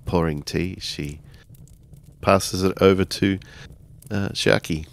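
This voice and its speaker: A man improvising narration for a tabletop role-playing game in a halting, deliberate way. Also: deep voice, fairly even intonation, gravelly.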